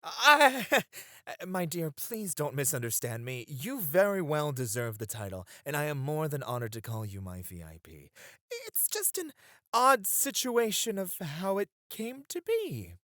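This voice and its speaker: A man's voice: fairly high pitch, huge pitch swings, measured pace, bright, forceful tone, dry recording, clean studio sound, heavily acted. A man playing a teasing, dramatic, flirty club host in an ASMR roleplay, with a coy, effusive manner. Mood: flustered.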